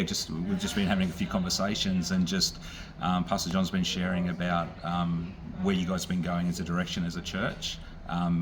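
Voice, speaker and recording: Deep-voiced Australian pastor, noisy recording. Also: male voice. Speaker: visiting pastor. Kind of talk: unscripted encouragement to a church congregation.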